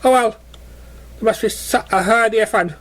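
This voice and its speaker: A man's voice: high-pitched, a little fast, a bit bright, voice pushed up. A man voicing a bubbly, childlike female cartoon pony who chatters simply and repetitively about having fun. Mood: carefree.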